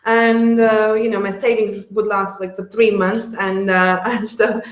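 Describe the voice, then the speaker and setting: A woman's voice: mid-range voice, flat delivery, measured pace, roomy sound. A woman from Latvia with a Latvian accent, a guest chatting casually in an interview, laughing often and restarting her sentences. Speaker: guest, relocation service founder.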